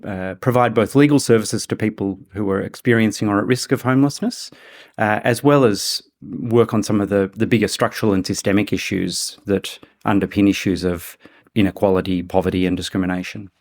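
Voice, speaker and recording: A man from Australia, a human rights advocate, giving formal, deliberate interview answers. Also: fairly low pitch, fairly even intonation, darkish tone.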